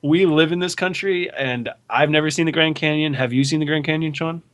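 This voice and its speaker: A male host with a fairly high voice leads a relaxed talk over an online call. He keeps the conversation going with friendly, curious questions. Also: fairly quick. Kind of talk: casual interview chat. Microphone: online call mic.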